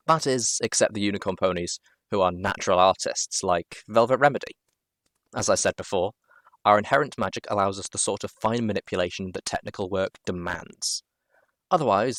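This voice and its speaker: Low-voiced man reading a story expressively. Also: dry recording. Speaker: amateur story reader. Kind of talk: expressive first-person fan fiction narration.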